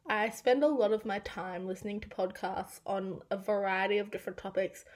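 A woman in her twenties with an Australian accent, hosting a casual solo podcast with a croaky, raspy voice from losing it this week, chatty and self-deprecating. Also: medium pitch. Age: 20s. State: croaky, half-lost voice. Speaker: podcast host.

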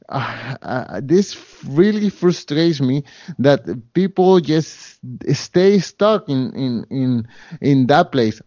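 Slow, hesitant Spanish-accented man. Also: a little high, darkish tone, no echo, highs cut off. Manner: earnest, hesitant with many fillers and restarts. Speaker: guest. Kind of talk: interview conversation.

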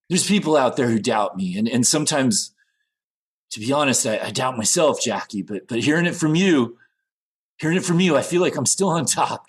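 A man playing an insecure, aging rock singer in an improvised scene, rambling and stammering in a husky voice. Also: mid-range voice, close to an everyday voice. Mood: insecure, boastful. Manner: rambling, stammering, repetitive, sweary. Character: needy, insecure aging male rock singer, past his prime. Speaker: actor in an improvised theater scene. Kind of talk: improvised monologue and dialogue acting.

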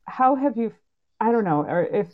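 A woman's voice: a little high, expressive, normal speed. A woman moderating a panel in a casual, tentative way, with rambling, open-ended questions. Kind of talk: casual interviewing. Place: remote online live panel. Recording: slightly dull online audio.

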